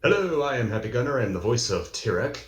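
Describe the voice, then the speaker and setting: A woman's voice: very deep voice, very animated, fast. A woman chatting casually as a panelist on a group reaction call. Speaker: amateur voice actor, reaction panelist. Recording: echoey room, faint music behind.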